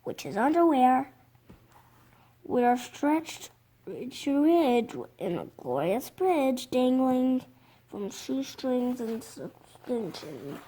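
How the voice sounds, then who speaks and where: A six-year-old child reading a picture book aloud, haltingly, with stumbles over words. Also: sits high, very animated, mellow. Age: young child, six. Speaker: reader.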